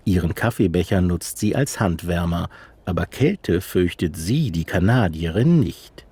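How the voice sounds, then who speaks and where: A man narrating a radio feature, measured and literary, and interviewing an author. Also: deep voice, lively intonation, warm tone, husky, dry recording. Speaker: radio reporter. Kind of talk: scripted narration.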